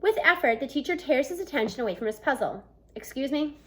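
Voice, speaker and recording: High, animated woman reading aloud. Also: quick pace. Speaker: read-aloud narrator. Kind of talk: expressive children's book reading. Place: small room at home, indoors.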